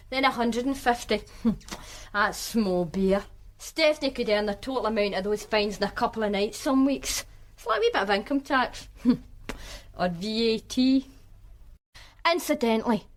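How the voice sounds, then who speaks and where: A woman's voice: a little high, lightly acted. An actress playing a guarded, streetwise woman from Glasgow with a Glaswegian accent, talking in a dry, sardonic way in a radio drama. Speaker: witness, flatmate of the victim.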